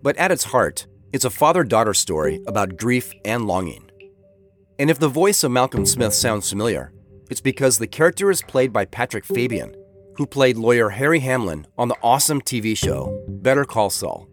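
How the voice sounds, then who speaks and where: A man's voice: medium pitch, very animated, normal speed, faint music behind. A man hosting a podcast, reading a scripted recommendation as a narrator. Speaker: podcast host.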